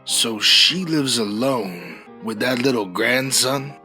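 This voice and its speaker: Sharp-voiced scheming frontier outlaw played by a man. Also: mid-range voice, slow, faint music behind. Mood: feigned friendliness, probing. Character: scheming male Gold Rush outlaw, ringleader, sly. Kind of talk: comedy audio drama acting.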